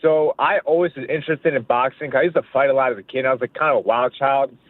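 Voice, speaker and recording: A man's voice: mid-range voice, fast talker. A young man from Pakistan, a boxer being interviewed over the phone, talking casually and confidently and often repeating himself. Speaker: boxer guest. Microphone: phone line. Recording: phone-like narrow line, dry.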